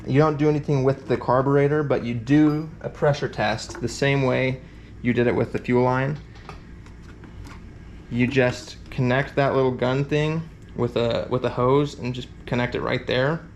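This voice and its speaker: A man's voice: mid-range voice, fairly even intonation, unhurried, a little hiss or hum. A man giving a casual hands-on repair tutorial, rambling with fillers and thinking aloud as he works. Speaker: competitor explaining a repair event.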